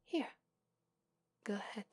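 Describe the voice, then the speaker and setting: A woman with an Italian accent voicing a gentle, lovestruck female fox spirit in a soft, whispered ASMR roleplay. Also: takes it slowly, no echo, lightly acted. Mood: tender whisper.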